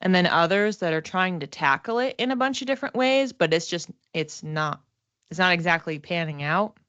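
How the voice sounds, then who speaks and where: A woman giving a casual livestream psychic reading, talking to viewers conversationally and thinking aloud in halting phrases. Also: fairly low pitch, lively intonation, a bit bright, no echo. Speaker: psychic reader and class teacher.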